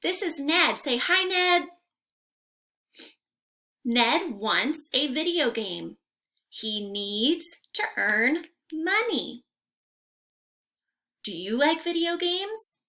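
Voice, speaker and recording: A woman's voice: sits high, very animated, drawn-out words, pauses often, sharp tone. A young woman reading aloud to small children as a teacher, in a sing-song, carefully enunciated way, putting questions to her listeners. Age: young adult. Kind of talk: interactive children's read-aloud lesson. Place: room at home.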